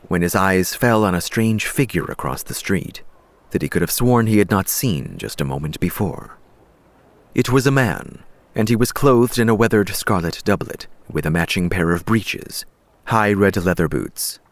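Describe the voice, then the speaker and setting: A man with a deep voice narrates a fantasy audiobook. His delivery is measured and deliberate, shaped as dramatic storytelling. The recording is dry and close, with very little room sound. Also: no echo.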